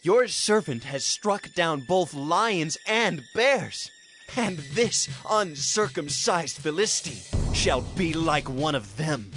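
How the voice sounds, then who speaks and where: An actor playing a bold, defiant young shepherd of strong faith in an earnest, declamatory audio scripture drama. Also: male voice, on the high side, very animated, slow pace, a bit bright, soft background music, exaggerated voice. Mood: bold, assured.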